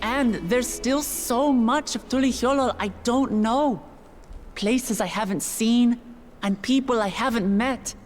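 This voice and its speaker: An actress playing a young woman heir who gives an earnest, idealistic, measured speech in a video game. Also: fairly high pitch, soft background music. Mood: earnest, resolute.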